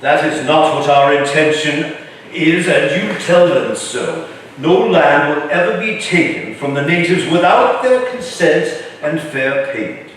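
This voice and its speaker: An actor playing an imperious, shrewd older colonial governor with a formal, wry, commanding manner in a staged reading. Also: male voice, fairly high pitch, measured pace, a bit bright. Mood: suspicious, commanding. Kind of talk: staged reading of a historical play. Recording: echoey room from far off, faint noise.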